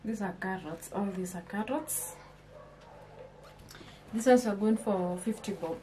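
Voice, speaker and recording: A young Kenyan-accented woman talks through a casual haul vlog in a small home kitchen. Her voice sits at a middling pitch, and she keeps turning to her viewers with questions and restarting her sentences. The camera picks her up from arm's length. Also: unhurried, noisy background. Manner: chatty, addresses viewers with questions, often restarts phrases. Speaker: vlogger.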